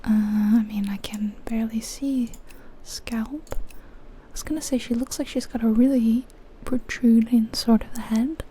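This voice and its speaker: A woman whispering softly and breathily in an unhurried, musing ASMR commentary on a video game. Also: a little high, steady tone, a little hiss or hum. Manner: hushed, unhurried, musing aloud.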